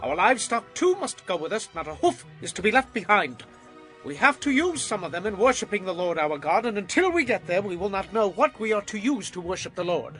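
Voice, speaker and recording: A bold, defiant prophet in a biblical audio drama. His voice is high and forceful, swinging widely as he declaims with emphasis over music. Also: very animated, a little fast. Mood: firm, defiant. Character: Moses, bold, defiant Hebrew prophet confronting a king. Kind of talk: dramatized audio Bible acting.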